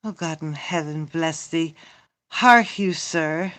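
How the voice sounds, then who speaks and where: A chatty, fussing nurse from a Shakespeare play acted out by a woman, loyal and servile. The lines come haltingly and slowly, as in an amateur reading, with hardly any room around the voice. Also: low voice, fairly bright tone, no room sound. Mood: fussing, deferential. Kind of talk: amateur Shakespeare read-through.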